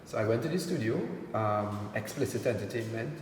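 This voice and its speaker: A man from Trinidad and Tobago with a Trinidadian accent, chatting casually as an interview guest, relaxed and quick to laugh. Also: lowish voice. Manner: relaxed, laughs easily, slows down to speak carefully. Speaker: entertainer guest. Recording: echoey room with long reverb, faint noise.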